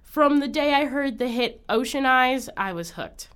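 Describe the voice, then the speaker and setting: A woman's voice: high-pitched, animated, a little slow, a bit bright, smooth. A young woman, a student podcaster, giving scripted narration. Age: young adult.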